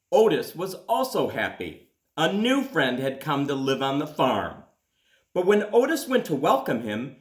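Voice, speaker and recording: Male children's book reader: fairly high-pitched, very animated intonation, deliberate delivery with dramatic pauses. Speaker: school principal reading aloud. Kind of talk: children's storybook read-aloud. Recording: echoey room.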